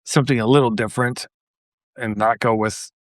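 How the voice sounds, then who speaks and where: Man, podcast co-host, casual chat, medium pitch, dry sound. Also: steady tone, fairly quick, no room sound.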